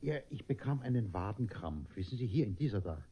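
Flustered, gullible gentleman with a husky voice. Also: fairly low pitch, animated, fairly quick. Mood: bumbling, puzzled. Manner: stammering, bumbling, hesitant. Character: gullible, vain middle-aged gentleman, flustered and eager. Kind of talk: German radio play acting. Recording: dull, muffled old radio recording.

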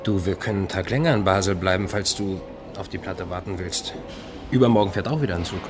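Male actor as young outlaw, lowish voice, formally polite yet forward; radio drama over music. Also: fast talker, close to an everyday voice. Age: young adult. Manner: formal, courteous.

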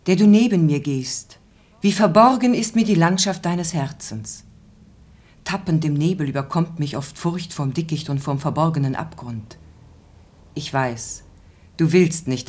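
A lyrical, pensive monologue for radio. Her voice is low in pitch. The delivery is grave and measured. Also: a little mellow. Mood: grave longing. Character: pensive woman musing on a loved one's hidden heart. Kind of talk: poetic radio play monologue.

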